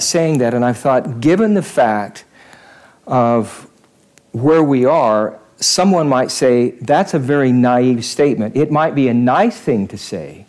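A man's voice: medium pitch, darkish tone. A male pastor giving a conversational sermon in church, repeating phrases for emphasis.

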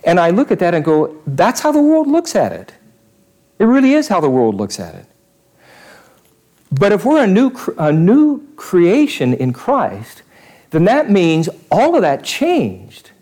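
A man's voice: sits high, huge pitch swings, a little slow, mellow. A male pastor giving a conversational sermon in church, repeating phrases for emphasis.

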